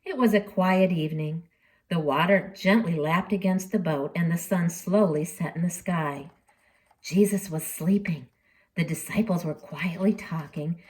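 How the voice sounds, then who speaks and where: A woman storyteller reading expressively to children, dramatic in delivery, with deliberate pauses and emphasis. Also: fairly low pitch, a little mellow.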